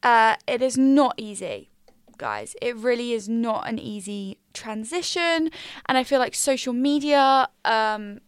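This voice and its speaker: A twenty-year-old woman hosts her podcast alone, talking casually and earnestly with plenty of fillers. Her voice sits a little high and sounds smooth and clean. The microphone is close, with no room sound. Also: big pitch swings, measured pace, no room sound. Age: 20s. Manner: chatty, earnest, lots of fillers like "like" and "um". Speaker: podcast host. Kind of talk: casual solo podcast chat. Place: small quiet room alone.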